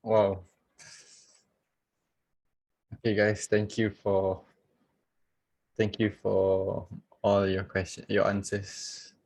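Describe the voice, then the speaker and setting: A man teaching trading on a live video call, chatty and relaxed, laughing and talking straight to his viewers. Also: lowish voice, slow pace. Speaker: trading coach. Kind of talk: casual live-stream trading lesson.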